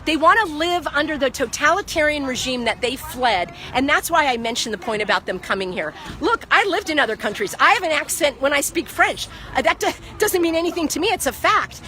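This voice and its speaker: A woman with a high, bright voice talks with fervor into a phone held in her own hand. She lectures and repeats her points over and over. She is outdoors in a parking lot. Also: lively intonation, a little fast, hardly any pauses. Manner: impassioned, lecturing, repeats herself. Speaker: vlogger. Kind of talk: outdoor phone vlog, heated argument. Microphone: phone held by the speaker.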